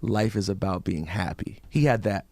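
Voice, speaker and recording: A man in his thirties co-hosting a radio show, joking quickly with the guests. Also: on the low side, gravelly, a little mellow. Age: 30s. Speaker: radio co-host. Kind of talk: casual radio banter.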